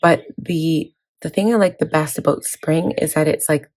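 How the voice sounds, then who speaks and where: A woman in her thirties, hoarse from laryngitis, chatting casually about her planner with many fillers. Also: sits low, normal speed, a little mellow. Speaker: planning vlogger. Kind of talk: casual chat walking through a planner.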